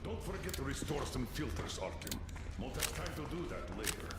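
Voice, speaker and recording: A man's voice: medium pitch, sing-song, moderate pace, lightly acted. An actor playing a commanding middle-aged military colonel and determined father in a video game. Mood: calm, practical. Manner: commanding, terse. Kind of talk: video game cutscene acting. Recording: roomy, loud background noise.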